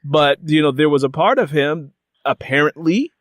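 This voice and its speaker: A man's voice: fairly high pitch, animated, moderate pace, dry recording. An American man hosting a conversational interview, putting questions in a wordy, circling way with repeated phrases. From United States.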